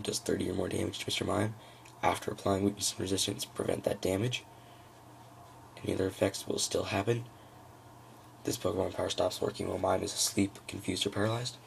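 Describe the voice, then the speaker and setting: Very low, gravelly man talking through cards. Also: darkish tone, slight background noise. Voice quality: froggy, gravelly. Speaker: trading card hobbyist presenting a deck. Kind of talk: casual unscripted card-by-card walkthrough.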